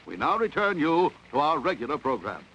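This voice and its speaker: An actor playing a solemn television news announcer who delivers a formal bulletin in a cartoon. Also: male voice, on the high side, very animated, a little fast, highs cut off, heavy background noise. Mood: solemn announcing.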